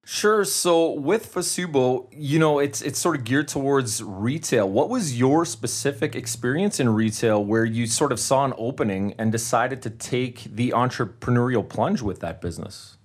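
A man's voice: medium pitch, expressive, moderate pace, hardly any pauses. A man hosting a small business podcast, reading a polished intro and asking interview questions. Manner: polished, announcer-like delivery. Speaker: podcast host. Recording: faint music under the voice.

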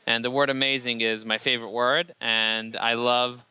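A man, a company CEO answering interview questions in an energetic, motivational way. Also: medium pitch, moderate pace, smooth, no echo, poor audio. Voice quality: loud voice. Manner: energetic, motivational, repeats words when excited. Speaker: podcast guest, company CEO.

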